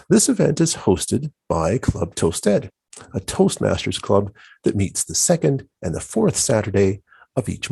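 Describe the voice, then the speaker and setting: A man's voice: on the low side, gravelly, dark tone, slightly rough, no echo. A man hosting an online club event, reading a welcoming scripted introduction. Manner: welcoming, announcing. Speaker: event host.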